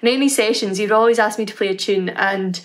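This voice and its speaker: A woman in her thirties with a Scottish Highland accent, talking casually to camera at home about her music, with frequent ums. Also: fast. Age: 30s. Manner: warm, chatty, with frequent ums and "kind of". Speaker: harpist introducing her piece. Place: home room indoors, dark wooden furniture behind.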